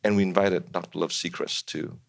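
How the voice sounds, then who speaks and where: Deep-voiced man giving an introduction. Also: fairly even intonation, brisk, creaky, dry recording. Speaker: lecture series organiser introducing recordings. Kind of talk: measured introduction.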